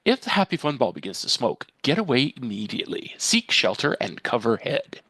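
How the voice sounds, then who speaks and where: Man doing an animated toy commercial announcer. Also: mid-range voice, a little fast, slightly rough, dry recording, heavily acted. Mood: solemn warning. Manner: emphatic, mock-serious. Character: booming enthusiastic toy commercial announcer reading grave disclaimers. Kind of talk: TV commercial parody read.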